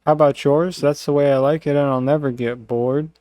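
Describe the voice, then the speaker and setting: A man's voice: fairly high pitch, steady tone, fairly quick, mellow, no echo. A man hosting a casual podcast, congested, rambling with lots of fillers and laughs. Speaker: podcast host. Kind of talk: casual chat.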